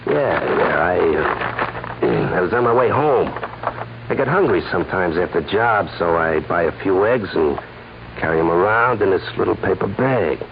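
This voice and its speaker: Low, gravelly sly radio crook. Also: male voice, lively intonation, normal speed. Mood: sly, teasing. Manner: sly chuckling, coy hesitations. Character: sly, odd holdup man and forger, smug schemer. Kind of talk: 1940s radio crime drama acting. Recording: muffled, hissy 1940s radio recording.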